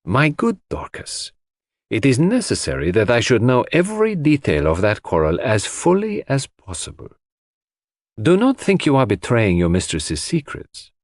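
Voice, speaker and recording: A man's voice: mid-range voice, huge pitch swings, normal speed, no room sound, studio quality, pitched above the performer's own voice. Audiobook sleuth, man acting: polite, formal, businesslike interrogator, persuasive, with widely moving intonation. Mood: earnest, persuasive. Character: courteous, businesslike, probing male detective.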